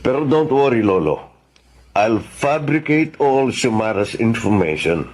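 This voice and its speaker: A man's voice: mid-range voice, lively intonation, measured pace, darkish tone, slight background noise, close to an everyday voice. A man with a Filipino accent acting a scheming, assured congressman in a Tagalog radio drama. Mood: sly, assured. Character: scheming smooth congressman, loyal grandson of a plotter.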